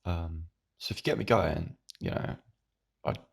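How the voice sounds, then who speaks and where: Young guy rambling to camera at home, deep gravelly croak, kinda husky. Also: measured pace. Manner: rambling, meandering. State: croaky, hoarse. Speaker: YouTuber. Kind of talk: casual monologue to camera.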